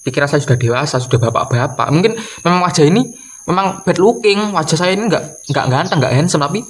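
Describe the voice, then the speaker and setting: A man, giving a casual comedic rant in a halting, repetitive, exasperated way. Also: a little high, animated, breathy edge. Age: early 20s. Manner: exasperated, halting, repeats phrases, slips in English swearing. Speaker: video creator. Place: doorway at home by a wooden door. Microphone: camera or phone close in front.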